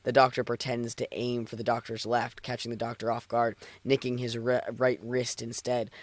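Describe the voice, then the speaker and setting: A man with a mid-pitched voice hosts a casual reading stream. He is playful and swears easily, moving between banter and story narration. The sound is dry and close. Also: monotone, normal speed, no room sound. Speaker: streamer reading fan fiction aloud.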